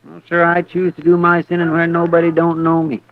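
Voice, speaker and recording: An actor playing a folksy, deferential Texan sidekick with a in a 1950s radio western. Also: male voice, a little high, completely flat delivery, lightly acted. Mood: sheepish, candid. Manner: folksy, plain-spoken, halting. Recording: tinny vintage radio recording.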